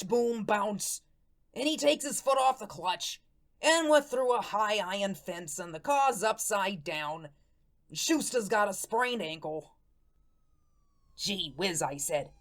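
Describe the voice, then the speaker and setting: A woman playing the earnest, hapless ghost of a murdered tough guy in a radio drama, talking in folksy slang. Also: mid-range voice. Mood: rueful, animated. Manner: folksy slangy speech with dropped grammar and rhetorical questions. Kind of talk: radio drama first-person monologue.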